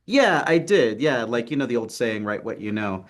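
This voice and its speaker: Young Texan man, earnest and halting. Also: mid-range voice, big pitch swings. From Texas, United States. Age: young adult. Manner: earnest, hesitant, many fillers. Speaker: film writer and actor, interview guest. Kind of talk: casual video-call interview. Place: city apartment with street traffic outside.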